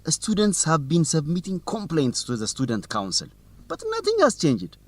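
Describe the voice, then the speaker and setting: Bold, animated young student. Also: male voice, a little high, moderate pace. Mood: confident, teasing. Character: bold, curious young male student, aspiring journalist. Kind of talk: educational radio drama dialogue, simple clear English.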